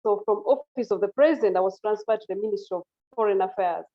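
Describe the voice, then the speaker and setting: A woman's voice: medium pitch, fast talker. Courteous Ugandan woman on a video call. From northern Uganda. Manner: formal and courteous, addresses the host as Mister. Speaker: guest, internal auditor. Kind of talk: polite video call interview. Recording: muffled video call audio.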